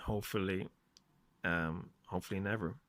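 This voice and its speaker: A man hosting a reflective solo podcast, speaking in measured phrases with emphatic stresses. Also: sits low, fairly even intonation, fairly bright tone, no room sound. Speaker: podcast host. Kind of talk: reflective solo podcast monologue with poem reading.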